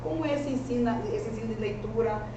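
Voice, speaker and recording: A woman's voice: fairly high pitch, fast talker. A woman in her forties with a strong rural Bahian accent, a teacher presenting her research in an earnest, conversational way. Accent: rural Bahian Brazilian Portuguese. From rural Bahia, Brazil. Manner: earnest, conversational, with frequent restarts. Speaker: teacher and master's student. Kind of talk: research seminar presentation, spoken freely. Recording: echoey, distant, noisy room audio.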